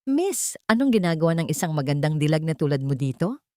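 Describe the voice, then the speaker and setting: A woman's voice: deep voice, sing-song, quick pace, dark tone, no room sound, clean studio sound. A Filipino woman voicing a mocking male street loiterer who leads a menacing trio in a narrated story. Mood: mocking menace. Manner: mocking, teasing.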